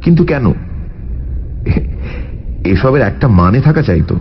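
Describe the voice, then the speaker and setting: An actor playing a shrewd, courteous detective who questions thoughtfully in a radio drama. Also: male voice, medium pitch, expressive, unhurried, a little hiss or hum, lightly acted. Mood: probing. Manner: probing, thoughtful, polite. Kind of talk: Bengali radio drama dialogue. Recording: muffled radio audio with faint music.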